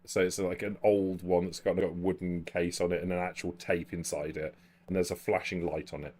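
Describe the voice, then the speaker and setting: Low-voiced male game master. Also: little pitch movement, brisk, a little mellow. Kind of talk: improvised tabletop game narration.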